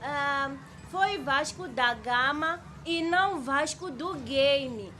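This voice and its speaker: A woman's voice: sits high, slow, pushed and bright, exaggerated voice. A woman voicing a clever, confident schoolgirl in a radio drama. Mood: confident, knowing. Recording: faint background noise.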